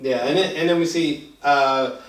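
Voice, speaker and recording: A man in a casual film review chat, talking in a halting, rambling way, repeating phrases for emphasis and swearing freely. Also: fairly high pitch, steady tone. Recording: echoey room, distant mic.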